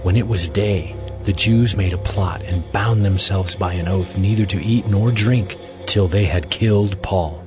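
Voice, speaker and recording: A man's voice: deep voice, a little slow, hardly any pauses, over music. A man narrating scripture as a scripted audio Bible reading.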